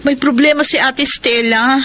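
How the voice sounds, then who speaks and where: A woman with a Filipino accent acting an anxious, halting young sister in a radio drama. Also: high voice, steady tone, fairly bright tone, slight background noise. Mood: worried, hesitant. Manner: hesitant, halting, worried. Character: Wendy, anxious young sister bearing bad news.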